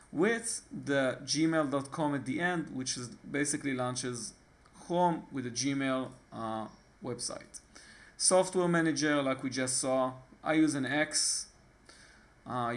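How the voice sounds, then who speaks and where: Israeli-accented man walking through a tutorial. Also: mid-range voice. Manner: halting, frequent fillers and restarts. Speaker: tutorial host. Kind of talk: casual screen-recording tutorial.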